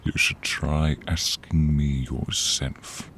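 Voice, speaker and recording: A man voicing a proud, stern, mighty dragon in a fantasy audio roleplay, husky and blunt, with an imposing delivery. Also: level tone, slow pace, a bit bright, a little hiss or hum, full character voice. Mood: stern, defiant. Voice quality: very deep, husky.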